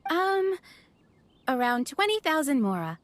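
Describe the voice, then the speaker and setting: High-voiced, courteous young waitress. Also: female voice, big pitch swings, unhurried, dry recording. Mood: attentive. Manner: polite, service-minded, descriptive. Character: courteous young waitress, enthusiastic about the menu. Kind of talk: video game character acting.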